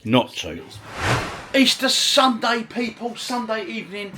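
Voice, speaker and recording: Chatty vlogger with lively, swooping pitch. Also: male voice, a little slow, a little hiss or hum. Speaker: vlogger and retro gaming channel host. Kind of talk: casual vlog chat to viewers.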